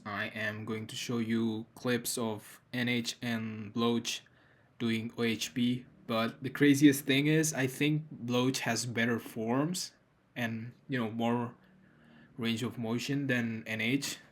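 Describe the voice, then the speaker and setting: A man hosting his channel, introducing video clips in a plain, measured narration. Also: mid-range voice, flat delivery.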